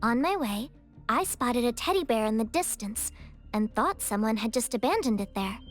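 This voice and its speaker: A woman's voice: on the high side, lively intonation, moderate pace, faint music behind, lightly acted. A woman playing an earnest, literal-minded young female resort worker in a video game, speaking politely.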